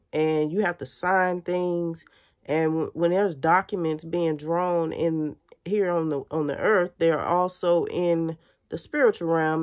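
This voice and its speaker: A woman's voice: lowish voice, a little mellow. A woman in her thirties with a stuffy, congested voice, speaking earnestly to her viewers from her bedroom in a casual spiritual vlog. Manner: earnest, addresses viewers as y'all. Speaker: spiritual vlogger. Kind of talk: casual spiritual talk to camera. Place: bedroom indoors.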